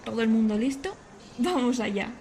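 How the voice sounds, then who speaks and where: An actress playing an eager, chatty young history student from Spain, recording an informal travel vlog in a podcast drama. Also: female voice, fairly high pitch, animated, moderate pace. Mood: excited. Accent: Spanish from Spain. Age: young adult. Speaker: podcast character, student vlogger. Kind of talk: acted first-person vlog monologue. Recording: clear background noise, ambient sound.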